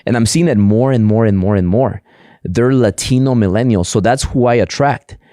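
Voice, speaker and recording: A man's voice: medium pitch, normal speed, warm tone, dry recording, studio quality. A middle-aged man whose first language is Spanish, talking eagerly in a casual interview, often repeating words and restarting sentences. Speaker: podcast guest, entrepreneur.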